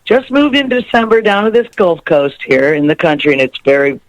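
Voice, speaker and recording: A musician guest talking in a rambling, laugh-filled way during a radio interview. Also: male voice, a little high, very animated, fairly quick, dry recording, lo-fi sound. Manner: rambling, laughs often, warm storytelling. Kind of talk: interview chat.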